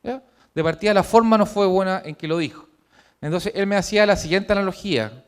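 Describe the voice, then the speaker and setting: A man presenting his own book in a formal, measured lecture to an audience in a hotel conference room. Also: high voice, expressive, clean tone.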